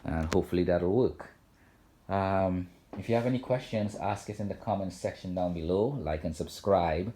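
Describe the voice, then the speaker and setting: A man giving a casual, unscripted home video walkthrough, talking haltingly with frequent ums and self-corrections. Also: low voice, dark tone. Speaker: home theater vlogger. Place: home theater room indoors.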